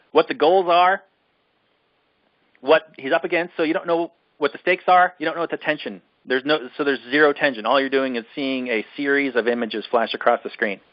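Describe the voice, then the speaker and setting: A man's voice: fairly high pitch, no room sound. Exasperated man ranting, dull dry recording. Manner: exasperated, emphatic, repetitive for emphasis. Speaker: podcast co-host reviewing films. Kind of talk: casual film discussion, extended rant.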